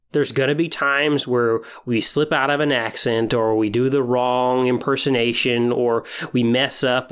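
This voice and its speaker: A male podcast host with a medium-pitched voice talks straight to his listeners. His delivery is encouraging and semi-scripted, like someone walking an audience through advice. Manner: encouraging, addresses listeners directly. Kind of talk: semi-scripted podcast talk on tabletop roleplaying.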